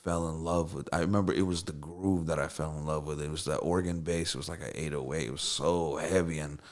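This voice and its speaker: Man born in russia, russian first language, super low voice, rambles with lots of ums and restarts. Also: steady tone, fairly quick. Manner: rambling with many fillers and restarts. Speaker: songwriter and producer guest. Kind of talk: casual interview storytelling.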